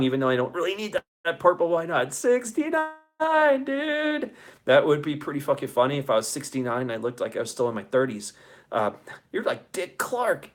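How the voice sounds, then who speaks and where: A man in his forties with a slightly high voice hosts a casual livestream. He reads out comments from his audience and talks back to them in enthusiastic, chummy, swear-heavy slang. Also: fairly bright tone. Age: 40s. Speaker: show host.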